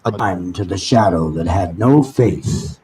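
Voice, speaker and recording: A man reading a novel aloud live as dramatic narration, with deliberate, halting phrasing. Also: deep voice, animated, warm tone. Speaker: reader of an audiobook. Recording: noisy amateur live recording with background ambience. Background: background ambient sounds.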